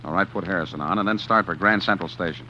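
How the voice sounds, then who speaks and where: An actor playing a man who narrates his own crime in a 1940s radio drama, tense and halting. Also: sits low, sharp tone, slight background noise. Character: male narrator who turns killer, jittery. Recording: dull old radio recording with music.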